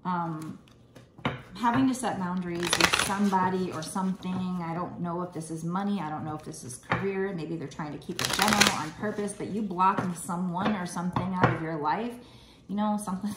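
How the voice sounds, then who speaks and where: A woman's voice: fairly low pitch, echoey room, very noisy. A woman giving a casual tarot reading, rambling and reassuring, her voice slightly froggy. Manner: rambling, reassuring, many fillers. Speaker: tarot reader.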